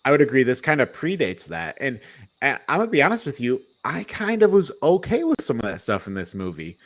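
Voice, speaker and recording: A man hosts a casual movie review chat at a middling pitch. He talks conversationally and gives his opinions freely, like someone discussing films with friends. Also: sing-song, fairly quick. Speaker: podcast host.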